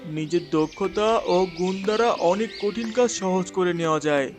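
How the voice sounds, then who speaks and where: A benevolent eagle king of the birds from a kids' cartoon, played by a man. His voice is high for a male, encouraging and moralizing, over prominent music. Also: moderate pace, natural voice. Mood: kindly, encouraging. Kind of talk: children's cartoon character voice.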